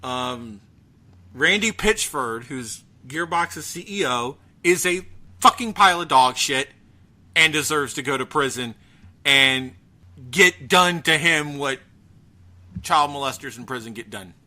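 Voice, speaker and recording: A man chatting casually as a podcast host, ranting in an animated, profane way. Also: medium pitch, measured pace. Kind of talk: casual chat about gaming news.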